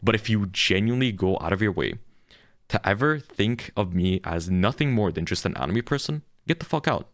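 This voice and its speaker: A young adult man with a deep voice, a streamer ranting frankly and heatedly about his community and swearing freely. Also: expressive, normal speed, no room sound, studio quality. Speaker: VTuber streamer. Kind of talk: candid ranting monologue.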